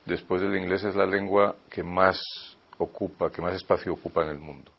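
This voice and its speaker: Deep gravelly man explaining thoughtfully. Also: fairly even intonation. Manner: thoughtful, pauses with fillers, listing points. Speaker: interviewed expert. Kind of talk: interview answer. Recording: dull lo-fi recording.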